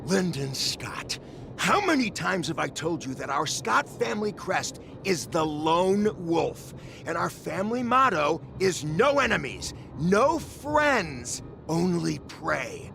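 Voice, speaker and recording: Ruthless, proud businessman dad for a game; high-pitched, theatrical, very slow drawn-out delivery. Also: very animated, creaky, bright, forceful tone, faint music behind, heavily acted. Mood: indignant, scolding. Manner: theatrical, blustering, drawn-out emphasis. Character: scheming, bombastic middle-aged businessman father, ruthlessly proud. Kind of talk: video game voice acting.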